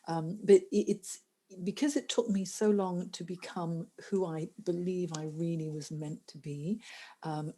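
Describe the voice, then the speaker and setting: A middle-aged woman speaks as a careers coach on a podcast. Her voice sits low and mellow, with a slightly husky grain. She talks earnestly and often restarts her sentences. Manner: earnest, many restarts and fillers. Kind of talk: conversational podcast interview.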